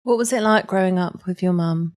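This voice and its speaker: A woman co-hosts an interview podcast. She asks gentle, affirming questions in a low voice. Her recording sounds dry and close. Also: fairly quick, no room sound. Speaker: podcast co-host.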